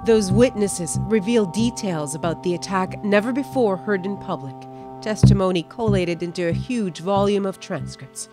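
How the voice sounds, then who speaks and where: A woman news correspondent reading a scripted documentary report in a measured, dramatic storytelling way. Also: medium pitch. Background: music bed under the voice-over.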